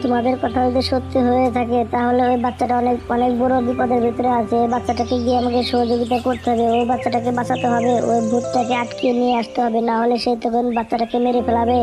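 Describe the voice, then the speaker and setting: A woman's voice: on the high side, completely flat delivery, quick pace, hardly any pauses, over music, lightly acted. A woman voicing a grave, urgent exorcist bird in a children's cartoon story.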